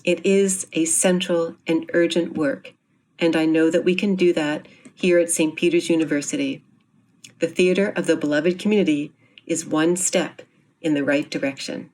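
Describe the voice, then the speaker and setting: A woman giving a prepared, formal speech with earnest, measured phrasing. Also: low voice, steady tone, darkish tone, rough recording. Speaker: speaker introducing a university theatre group.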